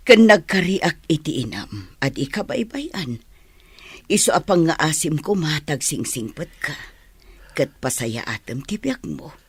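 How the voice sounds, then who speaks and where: A woman's voice: deep voice, very animated, unhurried, husky, no room sound, exaggerated voice. A woman playing a caring older guardian who firmly advises an orphan in a radio drama. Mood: firm advice.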